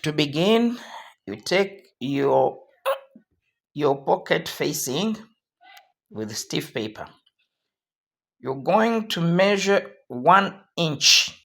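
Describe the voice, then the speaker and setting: Hoarse male tutorial host, slow and repetitive instructional delivery. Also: a little high, animated, fairly bright tone.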